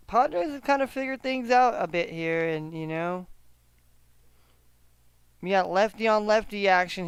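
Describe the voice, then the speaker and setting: A man hosting a casual solo sports betting chat, often halting and repeating himself as he talks through his picks. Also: high voice, smooth, dry recording. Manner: halting, repeats words, hedging gut calls. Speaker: sports betting show host.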